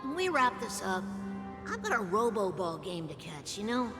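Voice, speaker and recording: An actress playing a brash, irreverent woman in a video game, taunting with sarcastic, flippant, drawn-out delivery. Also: mid-range voice, big pitch swings, natural voice. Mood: flippant, teasing. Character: brash, irreverent, mocking investor, defiant toward authority. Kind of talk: dramatic video game voice acting. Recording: long hall reverb, loud music behind.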